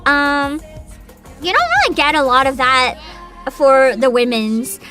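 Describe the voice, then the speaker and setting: A woman chatting casually as a livestream host, excitable and giggly, with dramatic emphasis. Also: high voice, measured pace, faint music behind.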